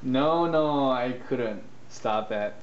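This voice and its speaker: A man's voice: medium pitch, takes it slowly, roomy sound, muffled recording, a little hiss or hum, natural voice. A man voicing a pompous carrot emperor in an amateur puppet show. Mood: reluctant. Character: stern, bossy male carrot emperor.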